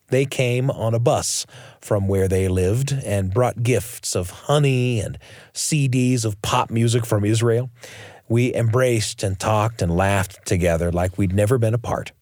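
A man hosting a radio show, telling stories in a reflective, scripted narration with deliberate phrasing. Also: medium pitch, darkish tone, no room sound, studio quality. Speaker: radio show host and storyteller. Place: radio studio.

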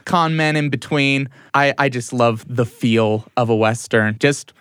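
A man speaking as a guest writer and musician in a radio studio, relaxed and often repeating words as he thinks. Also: medium pitch, unhurried, smooth, no room sound. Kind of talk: casual interview chat.

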